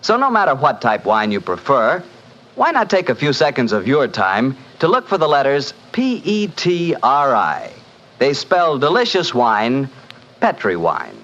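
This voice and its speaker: American guy, old time radio announcer, very lively pitch, muffled vintage recording. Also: measured pace. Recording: dull 1940s radio recording.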